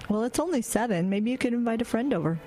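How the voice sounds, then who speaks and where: A woman's voice: fairly high pitch, animated, fast talker, mellow, soft background music, lightly acted. A woman playing a firm, wry mother. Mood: gentle. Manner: firm, scolding, dryly joking. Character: brisk no-nonsense suburban mother. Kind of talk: audio drama acting.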